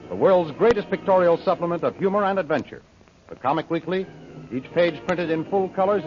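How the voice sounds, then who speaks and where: A man with a somewhat high voice announces an old radio adventure serial. His scripted delivery is formal and dramatic, with music clearly behind it and a lo-fi, dull sound. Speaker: announcer and narrator.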